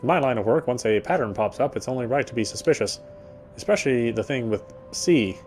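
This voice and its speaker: A man's voice: medium pitch, expressive, brisk, darkish tone, a little hiss or hum, natural voice. An actor playing a weary male city detective, narrating in the first person with a wry inner voice.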